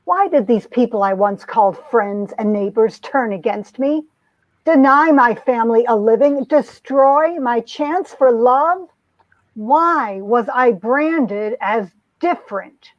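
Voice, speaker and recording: A woman's voice: fairly high pitch, animated, slow pace, no echo. A woman playing an earnest young small-town heroine telling her story in a romance comic reading, on dull lo-fi audio. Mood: dramatic, hurt.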